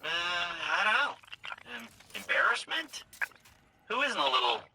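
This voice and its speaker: An eager young-sounding sleuth. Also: male voice, high voice, big pitch swings, a little slow, vocal fry, sharp tone, a little hiss or hum, full character voice. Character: eager male investigator chasing leads. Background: music.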